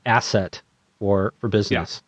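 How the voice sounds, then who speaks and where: A man's voice: lowish voice, a little slow, no room sound. A man hosting an interview podcast, introducing and questioning his guest. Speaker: podcast host.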